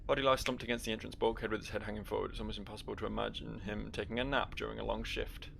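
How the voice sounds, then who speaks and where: A man's voice: lowish voice, little pitch movement, rapid-fire, gravelly, fairly bright tone, slight background noise. A man giving casual, wry game commentary, thinking aloud and reading in-game text. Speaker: gameplay host.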